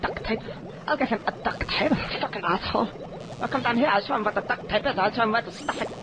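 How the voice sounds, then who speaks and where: Flustered German mad weasel scientist. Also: male voice, very high-pitched, fast, noisy background. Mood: bitter muttering. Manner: flustered, stammering, grumbling. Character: cowering, muttering, foul-mouthed mad weasel scientist, male.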